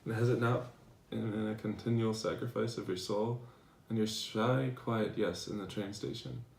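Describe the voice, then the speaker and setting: A man playing a devoted philosopher reading his tender love letters aloud in a measured, earnest dramatization. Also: fairly low pitch, steady tone, creaky, a little mellow. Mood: moved, solemn. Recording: echoey room, distant mic, faint noise.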